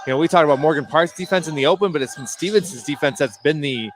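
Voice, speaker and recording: A man giving conversational color analysis during a live basketball broadcast, thinking aloud in a halting way. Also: on the high side. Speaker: color commentator. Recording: live broadcast with faint background noise.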